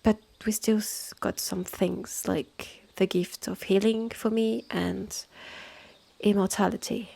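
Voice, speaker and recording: A woman plays a calm, immortal healer and protective elder sister in an ASMR fantasy roleplay. She has a French accent and a mellow, breathy voice that often slips into whispers. Her delivery is slow and soothing. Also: mid-range voice, exaggerated voice. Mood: confiding, matter-of-fact.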